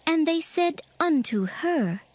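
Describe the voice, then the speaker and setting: A woman narrator reading scripture as a scripted dramatized story, in a measured, solemn storytelling manner. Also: sits high, animated, no echo. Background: music.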